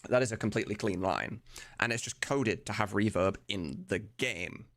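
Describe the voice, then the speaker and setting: A man's voice: on the low side, moderate pace, a bit bright. A man chatting casually on a live stream in an informal, sweary way, thinking aloud as he goes. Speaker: streamer and voice mod creator. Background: video game music.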